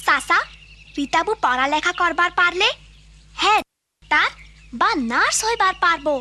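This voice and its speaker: A woman's voice: very high voice, expressive, normal speed, bright, forceful tone, heavily acted. A woman voicing an earnest, caring and outspoken young village girl in a cartoon. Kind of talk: cartoon voice acting.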